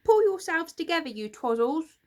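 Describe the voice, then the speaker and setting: Woman doing grumpy barking creaker boss. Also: high-pitched, lively intonation, moderate pace, a little mellow, voice pushed up. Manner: barking, broken grammar. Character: grumpy bossy male creaker leader.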